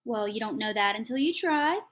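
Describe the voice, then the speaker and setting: Audiobook: woman voicing a warm-toned, coaxing foster mom, pitched up a bit, a little louder than the narration. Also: animated, brisk. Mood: gentle. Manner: gentle, coaxing, sharpens when upset. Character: caring but strained foster mother, woman. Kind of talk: audiobook character voice.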